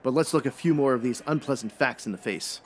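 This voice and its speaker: A man's voice: mid-range voice, quick pace, natural voice. A man playing a brusque, slangy, wisecracking private detective in a noir comedy radio drama. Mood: grim, matter-of-fact.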